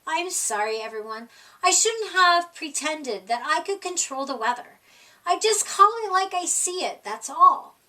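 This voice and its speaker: Woman as an anxious, high-voiced, people-pleasing groundhog. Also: expressive, a little slow, pushed and bright, recorded from a distance, full character voice, low-quality recording. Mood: sheepish apology. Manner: hesitant, earnest.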